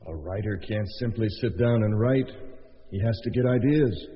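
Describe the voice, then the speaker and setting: An actor in a radio drama playing an adoring, bookish husband, speaking in a florid, sincere way. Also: male voice, on the low side, moderate pace, natural voice. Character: Richard, adoring, bookish, romantic writer husband. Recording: muffled, long reverberant tail.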